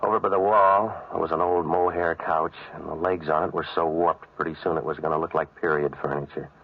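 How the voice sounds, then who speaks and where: An actor playing a cynical, wisecracking American private eye in a 1940s hardboiled radio drama, terse and deadpan. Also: male voice, rumbling low, fairly quick, husky, exaggerated voice. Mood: wry, weary. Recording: dull vintage radio recording.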